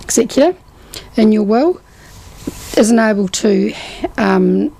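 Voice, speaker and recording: A woman's voice: mid-range voice, expressive, takes it slowly, gravelly, mellow, low-quality recording. A woman, a guest on a radio show, explaining financial services with lots of "um"s. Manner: explanatory, uses many fillers. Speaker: guest from a trust organisation.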